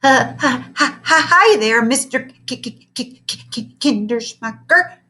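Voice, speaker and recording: A woman voicing a timid, jittery male teacher who squeaks and stammers. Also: animated, fairly quick, fairly bright tone. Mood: panicked.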